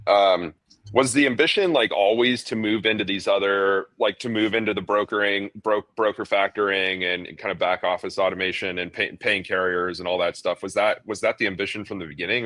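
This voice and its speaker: A man co-hosting a podcast, talking in a relaxed, joking way and often pausing to restart his sentences. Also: low-pitched, dry recording. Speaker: podcast co-host. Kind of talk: casual podcast chat. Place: recently moved to the southern US.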